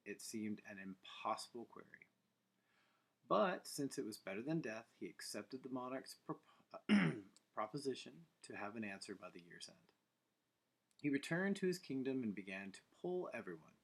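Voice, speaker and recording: A man reading a bedtime story aloud, breaking off for playful, teasing asides to his listeners. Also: mid-range voice.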